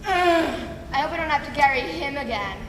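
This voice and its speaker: An indignant old donkey acted by a woman on a children's stage, wry and full of big plans. The high voice swings widely with theatrical exclamations, in an echoing hall with music behind. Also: expressive, fairly quick, background noise. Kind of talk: children's folktale stage play. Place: large hall, stage performance.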